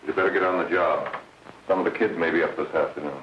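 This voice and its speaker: An actor playing a bossy, irritable, slangy drug peddler in a 1930s film, curt and nagging. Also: male voice, sits low, steady tone, quick pace, slight background noise, natural voice. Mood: bossy, impatient. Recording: muffled, echoey old film, distant mic.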